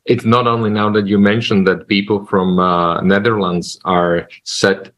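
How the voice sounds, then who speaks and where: Lower-pitched man, panel guest, agreeable, halting. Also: level tone, fairly bright tone. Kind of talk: online panel discussion.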